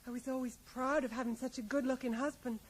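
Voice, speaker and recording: Actress doing a small town american widow, high voice, resigned n rambly, radio play, bit of hiss. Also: female voice, steady tone, fast talker, natural voice, rough recording. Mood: matter-of-fact, reflective. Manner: rambling, ends remarks with tag questions. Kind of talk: radio drama dialogue. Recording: faint noise, 1980s radio play.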